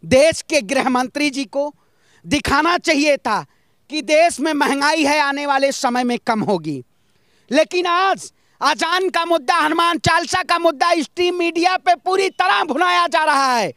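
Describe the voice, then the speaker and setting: Male interviewee from northern India, notably high voice, dry sound, fiery rhetorical political delivery with punchy repetition. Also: animated, measured pace, sharp tone, no echo. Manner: emphatic, rhetorical, with rhyming slogans and repetition. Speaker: interviewee giving political opinions. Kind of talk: impassioned political street speech.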